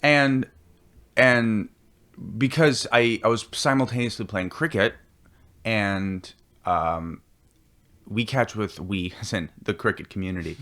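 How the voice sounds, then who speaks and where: Low-voiced man telling animated stories. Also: takes it slowly, pauses often. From India. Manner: animated storyteller, acts out voices. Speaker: guest. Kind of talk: casual chat.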